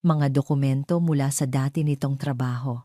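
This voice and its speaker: A Filipino woman reads a dramatic audio story in a low, mellow voice. She tells it in a measured, theatrical way, and the recording is close and dry. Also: steady tone, dry recording. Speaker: narrator.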